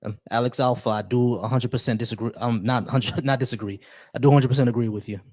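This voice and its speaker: A man chats loosely on a live stream, welcoming viewers and trailing into little restarts. His voice sits at an average pitch for a man. The tone stays casual and unhurried. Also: fairly even intonation. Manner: laid-back, shout-outs to viewers, many restarts. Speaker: livestream host.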